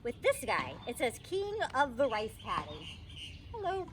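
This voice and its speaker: A woman reading a children's story as a teacher would, in animated narration, recorded outdoors in a park. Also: fairly high pitch, a little slow, background noise.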